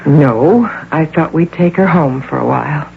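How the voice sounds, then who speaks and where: A woman's voice: low-pitched, unhurried, vocal fry. An actress playing a domineering, deluded executive woman who poses as a doting mother, in insistent 1980s radio drama style. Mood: polite, firm. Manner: insistent, theatrical. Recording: tinny, noisy old radio broadcast.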